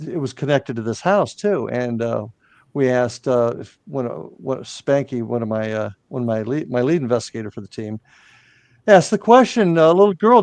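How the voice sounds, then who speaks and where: Male paranormal team leader, higher voice, animated delivery, dry sound. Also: dry recording. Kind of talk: casual chat.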